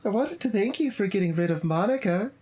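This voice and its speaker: A woman voicing a sweet teenage girl who has become club president, speaking with calm, clingy devotion. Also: medium pitch, a little fast, a little hiss or hum, lightly acted. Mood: devoted.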